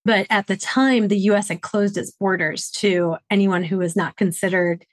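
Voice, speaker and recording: A woman's voice: mid-range voice, steady tone, moderate pace, no echo. A middle-aged woman from Detroit, a podcast guest talking in an earnest, reflective way about her upbringing and her work. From Detroit, Michigan, USA. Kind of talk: conversational interview answers.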